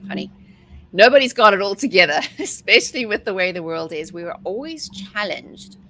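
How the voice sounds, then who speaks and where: A woman in her forties with a South African accent, a guest coach telling her story in a chatty, energetic way. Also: medium pitch, fairly quick. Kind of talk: casual interview talk.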